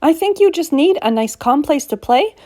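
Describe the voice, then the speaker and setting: An excitable storybook child, acted out by a woman, eager and wide-eyed. The voice is lifted high, exclaiming and pleading, in a dry recording. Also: moderate pace, no room sound. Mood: coaxing.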